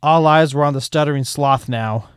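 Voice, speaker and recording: A man narrating a fan fiction chapter aloud in steady storytelling style. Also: on the high side, moderate pace, smooth, dry recording, studio quality.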